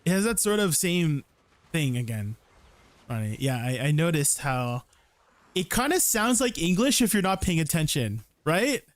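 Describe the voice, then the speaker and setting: Young male streamer, fairly high voice, chatty, explains as he plays, keeps asking "right?". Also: very animated, normal speed, no room sound. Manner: explanatory, tags sentences with "right?"; chatty, answers viewers' questions. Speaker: game streamer. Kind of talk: casual livestream commentary while playing.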